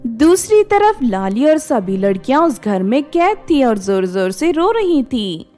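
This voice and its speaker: A woman's voice: sits high, big pitch swings, unhurried, dark tone, faint music behind. A woman reading a scripted children's story as its narrator.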